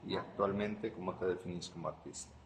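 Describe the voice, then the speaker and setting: Male interviewer, lowish voice, courteous and formal, in a reverberant room. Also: steady tone, gravelly. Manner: polite, measured questioning. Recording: reverberant hall with faint ambient noise.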